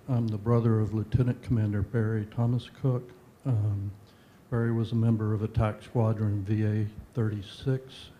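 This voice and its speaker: A man's voice: lowish voice, mellow, a little hiss or hum, poor audio. A man, brother of a missing naval officer, giving brief formal remarks and introducing family. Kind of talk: open-mic remarks.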